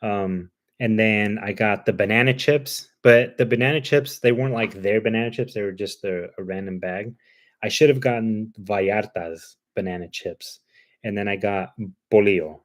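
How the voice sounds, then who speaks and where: A man's voice: lowish voice, moderate pace. A man with a Cuban accent, hosting a livestream in easygoing chat, rambling with plenty of ums and uhs. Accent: Cuban Spanish. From Cuba. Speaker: livestream host. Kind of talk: casual chat.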